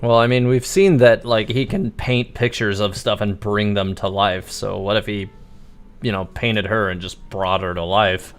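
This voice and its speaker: Low-voiced man musing over a game. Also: fairly even intonation, moderate pace, clean tone. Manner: musing, hesitant, speculative. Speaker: game livestreamer. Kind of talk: casual live commentary on a video game.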